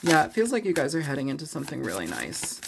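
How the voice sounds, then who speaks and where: A woman with a low, creaky voice talks through a tarot spread in an easygoing, rambling way, with plenty of fillers. She sounds sniffly from a cold and laughs readily between thoughts. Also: huge pitch swings, fast talker, heavy background noise. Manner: rambling, loose, filler-heavy. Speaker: tarot reader. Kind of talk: casual tarot reading to camera.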